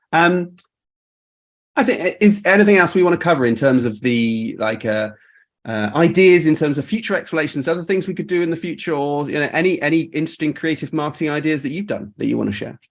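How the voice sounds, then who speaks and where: A man's voice: on the high side, lively intonation, fairly quick. Chatty man hosting a casual show. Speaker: host of a marketing show. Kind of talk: casual live-stream hosting chat.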